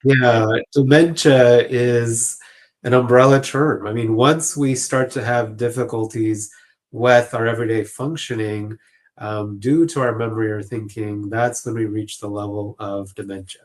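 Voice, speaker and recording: A man's voice: mid-range voice, fairly even intonation, measured pace. A man speaking as an expert panel guest, explaining informally and choosing his words with some hesitation. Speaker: clinical social worker, panel guest.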